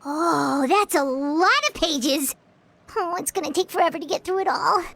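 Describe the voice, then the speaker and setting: Game sidekick: tiny squeaky fairy girl, extremely high, swooping, excitable. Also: normal speed, vocal fry, dry recording, heavily acted. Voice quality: squeaky, childlike. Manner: chatty, animated, expressive. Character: tiny excitable childlike female fairy companion. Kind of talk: video game voice acting.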